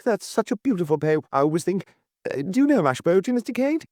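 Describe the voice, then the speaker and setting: Giggly young lady tourist, a man's falsetto. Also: sing-song, quick pace, a little mellow, no echo, studio quality. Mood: gushing.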